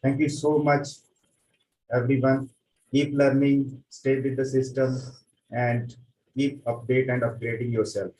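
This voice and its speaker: A man's voice: mid-range voice, level tone, a little slow, darkish tone. A man giving an effusive, grateful testimonial as a community member on an online webinar. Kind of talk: earnest thank-you speech on a group webinar. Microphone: online video call. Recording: roomy, distant mic, faint background noise.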